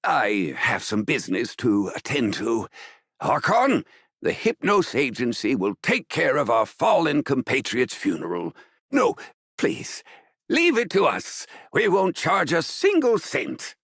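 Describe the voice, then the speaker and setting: Brash world-weary funeral boss, husky, swooping pitch, theatrical game dub. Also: male voice, huge pitch swings, unhurried, fairly bright tone, no room sound, studio quality, heavily acted. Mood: firm, earnest. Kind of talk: animated game dubbing.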